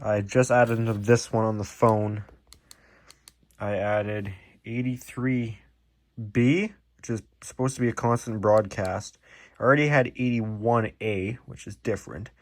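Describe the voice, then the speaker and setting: A man talking in a casual, rambling, self-deprecating way as he puzzles things out in a hobbyist vlog. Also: lowish voice. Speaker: hobbyist video host.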